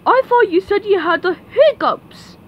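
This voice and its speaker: A woman plays a dopey male starfish from a cartoon. He is earnest and oblivious, speaking slowly in an obtuse, matter-of-fact way. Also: very high-pitched, animated, highs cut off. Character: dim-witted, earnest male starfish best friend. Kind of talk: hobby cartoon comic dub.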